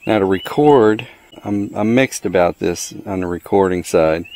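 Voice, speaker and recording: A man's voice: deep voice, measured pace, a little mellow, slight background noise. A man giving a casual hands-on product walkthrough outdoors, plainspoken and matter-of-fact, in short clipped phrases. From the Americas. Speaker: product reviewer. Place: outdoors under a canopy in a wooded yard.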